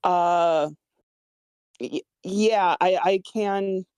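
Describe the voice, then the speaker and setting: A woman's voice: lowish voice, very slow, a little mellow, smooth, no room sound. A woman talking casually and hesitantly about her own script, often stammering as she explains her choices. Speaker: screenwriter discussing own script. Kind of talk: casual feedback discussion.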